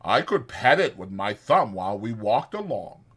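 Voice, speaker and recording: A man's voice: on the low side, sing-song, moderate pace, fairly bright tone, breathy edge, exaggerated voice. A man voicing a simple-minded, eager, forgetful working man, hesitant, repetitive and naive, in a dramatized audiobook. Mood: sheepish.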